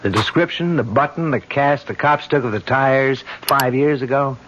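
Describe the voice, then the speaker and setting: An actor playing a dogged, plain-spoken police detective, speaking in matter-of-fact clipped phrases in an old-time radio mystery. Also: male voice, medium pitch, moderate pace, noisy background, lightly acted. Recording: dull old radio broadcast.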